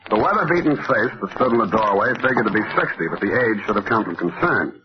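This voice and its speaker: Actor as a wry hard-boiled American private eye, muffled old radio. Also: male voice, fairly low pitch, animated, quick pace, noisy background, natural voice. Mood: wry narrating. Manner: wry, glib, wordy. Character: wisecracking hard-boiled male private detective, cocky and flirtatious. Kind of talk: old-time radio detective acting, dictation and narration. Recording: dull lo-fi old radio recording.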